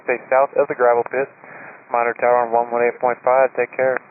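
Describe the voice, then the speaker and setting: A man's voice: medium pitch, completely flat delivery, fast talker, no echo. A man working as an air traffic controller, giving clipped, directive instructions over an aviation radio. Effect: aviation radio transmission. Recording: tinny narrowband aviation radio with static. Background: clear radio noise.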